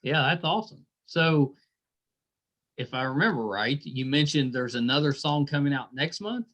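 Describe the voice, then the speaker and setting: A man's voice: a little high, lively intonation, normal speed, a bit bright, highs cut off. A man hosting a podcast interview, chatting casually in an encouraging, easygoing way.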